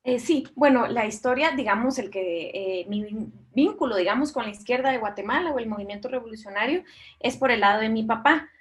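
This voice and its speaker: Woman, high voice, grew up in Mexico and Costa Rica, reflective, conversational interviewee. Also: a little fast. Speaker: documentary director being interviewed.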